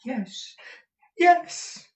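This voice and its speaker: A man's voice: drawn-out words, darkish tone, reverberant, distant mic. A man voicing a frail, timid young man from a storybook, tearfully eager and devoted, stammering and repeating his words. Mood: eager, overcome. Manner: stammering, breathless repetition of words.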